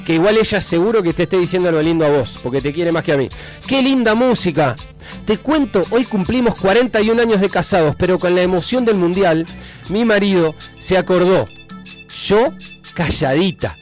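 A man with a Rioplatense accent hosting a live radio call-in show, joking and excitable as he reads listener messages. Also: high-pitched, brisk, faint music behind. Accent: Rioplatense Spanish. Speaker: radio host.

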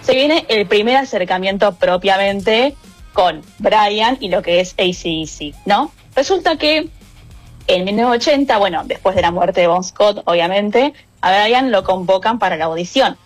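A woman with a Rioplatense Spanish accent, a radio music columnist telling a story in casual chat, animated and quick to laugh. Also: medium pitch. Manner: lively, animated storyteller who laughs often.

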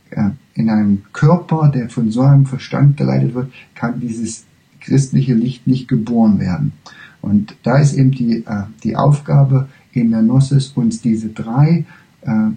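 A man giving a freely spoken spiritual lecture, haltingly, often searching for words and correcting himself. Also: mid-range voice, vocal fry, mellow, rough recording.

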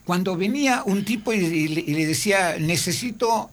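A man with an Argentine Spanish accent, a guest explaining logos and history in a measured way. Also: high-pitched, expressive, slight background noise. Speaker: tourism guest. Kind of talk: casual radio chat.

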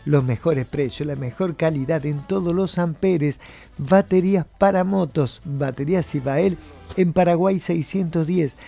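An Argentine man co-hosts a casual radio show and delivers live sponsor mentions. His voice sits a little high for a man, with a mellow, soft tone. Light music plays behind him. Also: unhurried, creaky. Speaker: radio co-host.

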